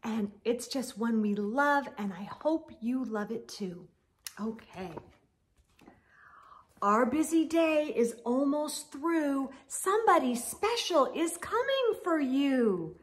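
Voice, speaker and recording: A middle-aged woman reads and talks to young children as a storytime host. Her voice is high and strongly animated, and she takes her time, stretching words for expression. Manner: animated, gentle asides, drawn-out words. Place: indoor room lined with bookshelves.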